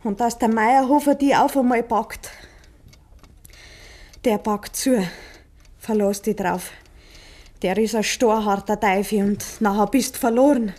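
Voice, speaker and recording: An actress playing an anxious, probing village wife in a 1950s Bavarian dialect radio play, speaking with grave insistence. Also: female voice, a little high, measured pace, pauses often, a little mellow. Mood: urgent pleading.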